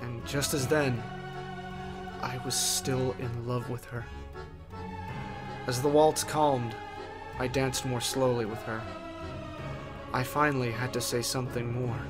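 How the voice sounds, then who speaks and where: A man narrating a first-person horror story in a theatrical way, with deliberate pauses. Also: medium pitch, flat delivery. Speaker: writer and narrator. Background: music.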